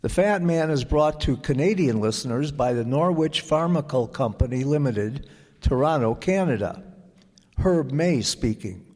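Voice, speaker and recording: A man reading a script as an old-time radio announcer, with measured, deliberate phrasing. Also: medium pitch, lively intonation, a little mellow. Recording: echoey hall with audience present.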